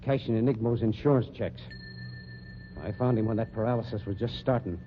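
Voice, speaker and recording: A man's voice: on the low side, a little fast, lightly acted. An actor playing a hot-tempered, shady carnival hustler with slangy tough-guy talk, in old radio mystery style. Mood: gruff, confessing. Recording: muffled old radio recording with hum.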